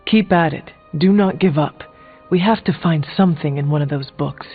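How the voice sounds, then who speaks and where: An actor playing a stern, resolute warrior hero in a video game, with a formal, blunt and solemn way of speaking. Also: male voice, on the high side, moderate pace, close to an everyday voice. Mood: urgent. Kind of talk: video game character dialogue. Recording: thin phone-like audio, music behind.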